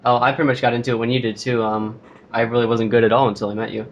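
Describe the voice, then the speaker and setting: A man's voice: mid-range voice, flat delivery, racing, highs cut off. Casual teenage boy interviewer. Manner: friendly, casual questions with "um".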